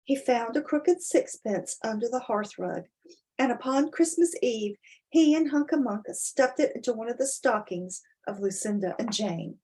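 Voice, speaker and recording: A woman with a slightly husky voice, reading a children's story expressively and chatting informally about it. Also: fairly high pitch, animated, moderate pace, darkish tone, rough recording. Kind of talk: dramatic storybook reading with casual explanatory asides.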